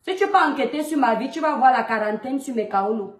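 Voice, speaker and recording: A woman's voice: high voice, expressive, brisk, reverberant. A woman in her thirties with a Cameroonian French accent, lecturing in a scolding monologue full of rhetorical questions. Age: 30s. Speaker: mother addressing a public figure online. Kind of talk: monologue video rant.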